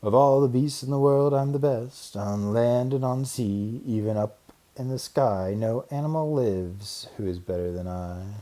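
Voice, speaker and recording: A man's voice: medium pitch, a little slow, dark tone, close to an everyday voice. A man voicing a proud rabbit who brags and snaps insistently in a children's storybook. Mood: boasting. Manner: snappy, boastful, insistent. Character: proud, snappish, bragging rabbit.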